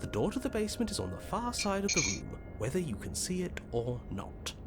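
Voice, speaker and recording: A man playing a weary, exasperated, stern unseen narrator in a game, speaking dryly and deliberately. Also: a little high, huge pitch swings, very noisy. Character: weary, exasperated, imperious unseen storyteller spirit.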